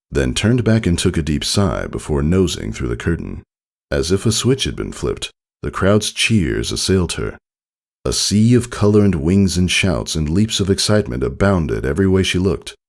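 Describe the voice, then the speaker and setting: A man narrates a story in an extremely deep voice with a creaky edge. His delivery is dramatic and deliberate, with expressive swings in pitch that carry the scene along. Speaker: narrator reading fan fiction.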